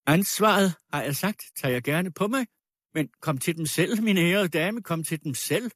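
A man voicing a pompous, sanctimonious gentleman in a storybook reading, with formal oratory. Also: high-pitched, expressive, no room sound, clean studio sound, voice pushed up. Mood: indignant, blustering. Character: pompous, sanctimonious gentleman accuser, self-righteous and smooth-talking.

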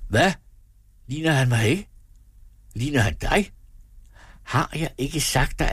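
A man's voice: mid-range voice, dry recording, studio quality, voice pushed up, close to an everyday voice. A man voicing a pompous, self-pitying husband and father in a story, ranting indignantly.

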